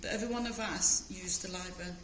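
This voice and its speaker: A woman's voice: fairly low pitch, steady tone, quick pace, fairly bright tone. A talkative older woman chatting casually as a member of a craft group. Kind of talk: casual chat. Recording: echoey noisy room.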